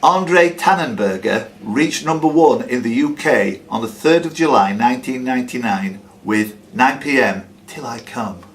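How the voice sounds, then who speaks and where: A man's voice: medium pitch, lively intonation, normal speed, hardly any pauses, slight background noise. A man hosting a live club quiz, reading out questions and slipping in teasing, jokey asides. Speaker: quiz host. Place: working men's club.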